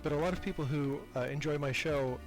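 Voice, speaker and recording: A man's voice: a little high, fast, music in the background, low-quality recording. A man being interviewed as a podcast creator, talking casually and reflectively in a self-deprecating, hesitant way, repeating words as he thinks.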